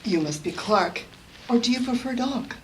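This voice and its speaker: A woman's voice: a little high, animated, normal speed, natural voice. A woman acting in a live radio play as a composed, bereaved young backwoods heroine, with noise behind her. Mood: subdued. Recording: noisy live stage recording.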